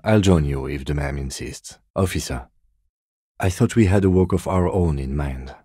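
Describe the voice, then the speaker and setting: An actor playing a composed middle-aged police detective in a video game, speaking in a measured, formal, dryly polite way. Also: male voice, very deep voice, mellow, slightly rough, no room sound, clean studio sound. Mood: calm, formal. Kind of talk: dramatic game dialogue, separate voice lines.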